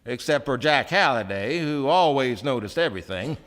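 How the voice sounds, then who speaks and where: A man's voice: mid-range voice, lively intonation, normal speed, fairly bright tone. A man narrating a scripted story dramatically on a theatre stage. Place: theatre stage, live performance.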